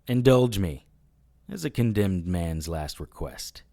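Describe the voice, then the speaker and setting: An actor playing a wisecracking, defiant private investigator in an audio drama, with a dry, sarcastic, unflappable delivery. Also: male voice, low voice, lively intonation, takes it slowly, gravelly, dark tone, dry recording, heavily acted. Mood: wry, defiant.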